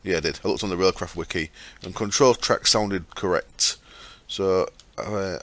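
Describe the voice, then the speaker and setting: A man hosting a gaming channel, explaining his setup step by step in a chatty way with fillers, his throat sore and croaky. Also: low voice, moderate pace, fairly bright tone, dry recording. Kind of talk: casual game commentary.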